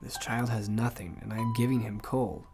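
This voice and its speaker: A man's voice: medium pitch, steady tone, normal speed, darkish tone, soft background music, natural voice. A man playing an earnest, kind-hearted young Santa in training. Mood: sympathetic.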